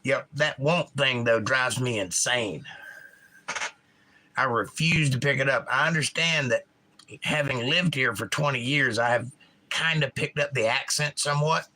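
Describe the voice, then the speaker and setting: A middle-aged man with a Georgia accent, hosting a casual live chat and reading out viewer comments, enunciating crisply in an animated, opinionated way. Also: medium pitch, unhurried, poor audio. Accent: Georgia, US South. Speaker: livestream host.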